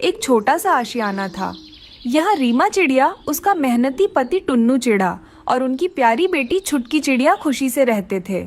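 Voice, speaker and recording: A woman's voice: high voice, big pitch swings, normal speed. A woman giving scripted narration for a children's cartoon story.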